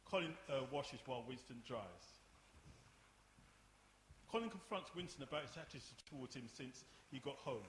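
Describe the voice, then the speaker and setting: A man delivers scripted scene narration in a medium-pitched voice. The room adds clear echo, with faint noise around him. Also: lively intonation, fast talker. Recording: roomy live performance, faint noise.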